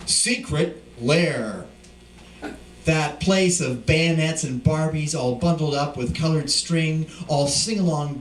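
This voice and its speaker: A man giving a live poetry reading, speaking deliberately in short emphatic phrases. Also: medium pitch. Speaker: poet reading at a live event. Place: indoor live reading venue. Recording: distant mic, echoey room, faint noise.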